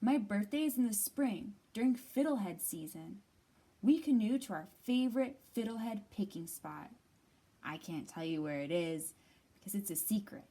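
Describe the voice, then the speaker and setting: A woman's voice: medium pitch, expressive, moderate pace, dark tone, breathy edge. A woman reading as a friendly, outdoorsy moose in a picture book, with a confiding manner.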